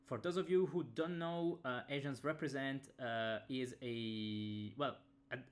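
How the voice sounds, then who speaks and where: A man with an Italian accent, Italian his first language, talking through an unboxing on a webcam, with many pauses and fillers. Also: medium pitch, fairly bright tone. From Italy. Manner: hesitant, many fillers and restarts. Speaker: game designer reviewing a game. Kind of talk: casual unboxing talk.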